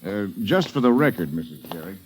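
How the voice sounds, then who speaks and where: An actor playing a grave, courteous doctor in a 1940s radio drama, with a hesitant, formal manner. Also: male voice, fairly low pitch, sing-song, a little fast, darkish tone. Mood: grave, reluctant. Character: grave, reluctant, courteous doctor breaking bad news. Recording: vintage radio broadcast.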